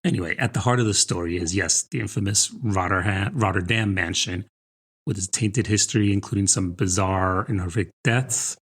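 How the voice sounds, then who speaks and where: Podcast co-host: American man, middle-aged, lowish husky voice, casual and conversational. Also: brisk. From New Jersey, United States. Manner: informal, chatty, peppered with rhetorical "right?" and "I mean". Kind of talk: casual conversational book chat.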